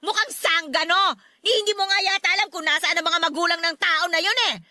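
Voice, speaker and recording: A shrill, scolding radio-drama mother. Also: steady tone, fairly quick, pushed and bright, dry recording, clean studio sound, heavily acted. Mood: angry, scornful. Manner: nagging, harsh, sharply emphatic. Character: angry, scolding mother who scorns her daughter's boyfriend. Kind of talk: Filipino radio drama acting.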